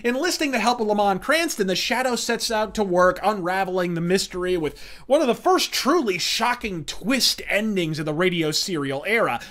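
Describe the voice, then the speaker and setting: A man with a high, forceful voice delivers scripted pop culture commentary as the host. His wording is florid and freely profane, and he punches his phrases hard, in the manner of a rant. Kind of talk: scripted commentary, rant-style countdown review.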